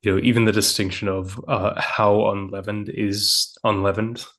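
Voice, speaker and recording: A man with a very deep voice and a Midwestern American accent, co-hosting a relaxed podcast chat. He talks in halting bits, hedging with fillers before landing his point, and laughs easily. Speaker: podcast co-host. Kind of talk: casual conversational chat.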